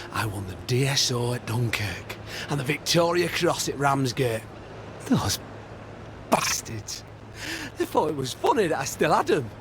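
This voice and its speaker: An actor with a British accent playing a bitter, gruff old war veteran, blunt, sardonic and sweary, in a video game. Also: male voice, medium pitch, noisy background. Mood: aggrieved. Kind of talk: video game cutscene acting.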